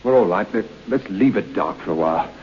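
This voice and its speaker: An actor playing a proud, unnerved man trapped alone in a dark room, muttering to himself with forced bravado in an old-time radio drama. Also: low voice, lively intonation, brisk. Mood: defiant, uneasy. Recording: dull, noisy old radio broadcast.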